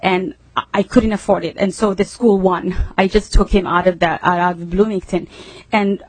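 A woman's voice: medium pitch, steady tone, normal speed, no echo, low-quality recording. A woman testifying at a public hearing, reading a statement and recounting her own experience as a parent. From Somalia.

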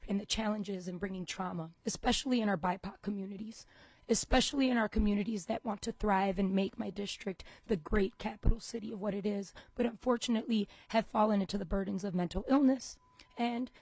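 A woman's voice: mid-range voice, a little fast, darkish tone, husky, no echo, low-quality recording. A woman state representative from Minnesota giving a passionate, emphatic introduction at a committee hearing. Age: young adult to middle-aged.

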